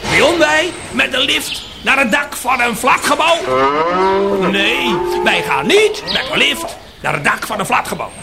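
A furious cow rallies the herd in a radio play. The voice is very high and leaps around, slightly gravelly, with declamatory refrains and rhetorical questions over music. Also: male voice, exaggerated voice. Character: furious cow rallying the herd against city builders. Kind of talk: theatrical radio play monologue.

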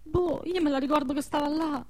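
A woman's voice: sits high, brisk, mellow, no echo. An actress playing an anguished, protective peasant woman amid war ruins in a radio play, plaintive and insistent, with a central-southern Italian dialect. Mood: bewildered, grieving. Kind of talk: emotional radio theatre acting in dialect.

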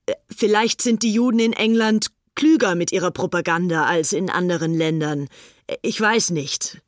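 A woman's voice: on the low side, moderate pace, dry recording, clean studio sound, close to an everyday voice. A woman playing a fanatical, haughty young upper-class English fascist in a dramatized reading of letters. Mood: zealous.

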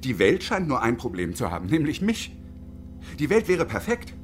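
A man's voice: medium pitch, huge pitch swings, moderate pace, soft background music. An actor playing a bitter, sardonic unemployed engineer in his early fifties in a radio drama, wordy, pedantic and ironic. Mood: sarcastic. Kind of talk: radio drama acting with inner monologue.

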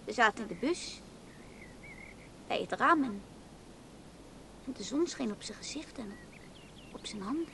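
Dreamy, stubborn woman for radio play: high, expressive pitch, faint background noise. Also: a little slow, long pauses. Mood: wistful. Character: dreamy, naive, stubborn woman grieving her gentle lover. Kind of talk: Dutch radio play acting. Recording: noisy old radio drama recording.

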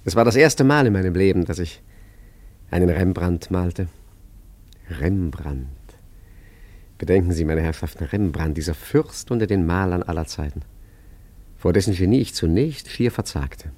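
A sly arty con man in a radio play, low voice, theatrical and ironic. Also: animated, moderate pace, pauses often, a little mellow. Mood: grandiose, savoring. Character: suave, cheeky male art forger and street painter. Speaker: narrator and lead actor. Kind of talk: German radio play acting and narration.

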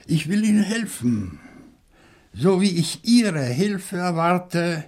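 Slow, husky, grave suspect. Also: male voice, high voice, sing-song, a little mellow, full character voice. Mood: grave, insistent. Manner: deliberate, hesitant, formally polite. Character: grave, probing male newcomer under police suspicion. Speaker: radio drama actor. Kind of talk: German radio crime play dialogue.

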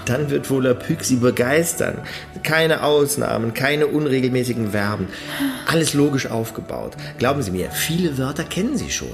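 Pushy nerdy language teacher, super lively voice, bit of music under it, radio play. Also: male voice, medium pitch, moderate pace, vocal fry. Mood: coaxing. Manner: pedantic, coaxing, enthusiastic. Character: eager male language teacher, pedantic enthusiast.

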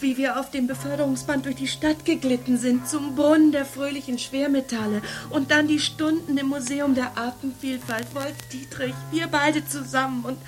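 A woman's voice: high-pitched, steady tone, normal speed, few pauses, close to an everyday voice. An actress playing a lonely woman, wistful and pleading as she recalls a romance. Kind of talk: radio play acting.

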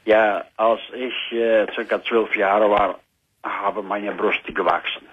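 A man in his fifties with a Dutch accent, calling a radio show and searching haltingly for German words. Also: fairly low pitch, flat delivery, slightly rough. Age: 50s. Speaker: caller. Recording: tinny phone line.

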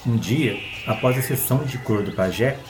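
A man's voice: mid-range voice, normal speed, background noise. A man with a Brazilian accent reading a scripted story as its narrator.

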